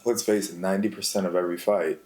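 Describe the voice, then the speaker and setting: A man's voice: low voice, steady tone, fast talker, warm tone, slight background noise. A man chatting casually as a podcast guest, laid-back and full of "you know what I mean" asides. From Greek family, raised bilingual. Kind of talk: casual chat.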